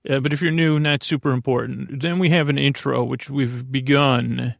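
A man hosting a bedtime sleep podcast in a creaky, lulling voice, meandering through goofy tangents and halting, stammered self-corrections. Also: medium pitch, steady tone, no room sound. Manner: soothing, lulling; goofy, whimsical. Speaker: podcast host.

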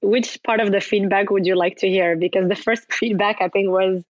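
A woman's voice: medium pitch, fairly even intonation, moderate pace, no echo, lo-fi sound. A woman in her thirties from Morocco, a company co-founder answering interview questions in a talkative way and leaning on "basically". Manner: talkative, repeats words and fillers like "basically".

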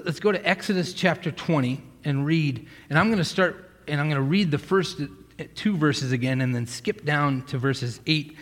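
A man from Massachusetts preaching a casual, joking sermon as pastor, often restarting his sentences. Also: mid-range voice. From Massachusetts, USA. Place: church sanctuary. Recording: hall reverb.

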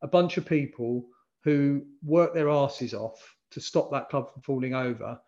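A man's voice: mid-range voice, measured pace, a little mellow, dull audio. A man talking as a podcast guest in a casual conversation, emphatic and blunt, often restarting his sentences. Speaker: podcast guest, fan engagement consultant.

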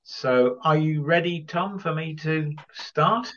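A man hosting a casual interview podcast, asking questions in a halting way with repeated words and phrases. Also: on the high side, a bit bright, muffled recording.

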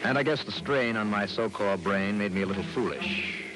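A man's voice: lowish voice, a little fast, natural voice, low-quality recording. An American actor playing a confident, wry male insurance investigator in an old-time radio detective drama, with a breezy delivery. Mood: wry narration. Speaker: lead actor and first-person narrator. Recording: vintage radio recording with music.

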